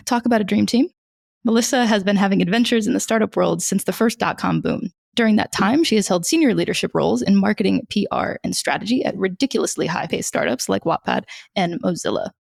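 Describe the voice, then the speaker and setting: Upbeat gravelly woman podcast host. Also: mid-range voice, fast, darkish tone, no echo, studio quality. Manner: upbeat scripted intro, then short prompts. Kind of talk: interview podcast.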